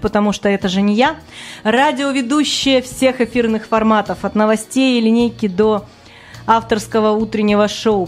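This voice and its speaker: A woman hosting a casual live radio interview, talking in an excited, flowing and self-deprecating way. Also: a little high. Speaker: radio host.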